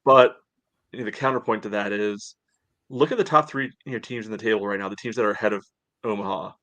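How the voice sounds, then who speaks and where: A man's voice: on the low side, quick pace, fairly bright tone, no room sound. A man co-hosting a podcast, giving casual sports analysis and reasoning aloud in a conversational way. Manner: conversational, reasoning aloud with frequent "you know". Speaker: podcast co-host.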